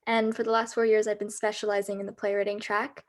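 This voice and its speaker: A woman's voice: mid-range voice, level tone, quick pace. A woman in her late teens with an American accent, a student playwright talking casually and enthusiastically about her script. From United States. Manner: enthusiastic, explanatory, with fillers like "like". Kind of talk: casual discussion on a video call.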